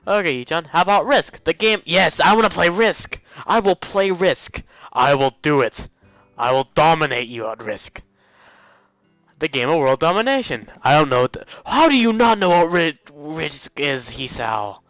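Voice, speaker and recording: Young male let's play host, smooth voice, playful stumbling read, dry sound with music underneath. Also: on the high side, lively intonation, normal speed, fairly bright tone, no echo. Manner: playful, stumbles over lines while reading. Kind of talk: reading a visual novel aloud with off-the-cuff commentary. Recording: dull, lo-fi mic with music. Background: game music.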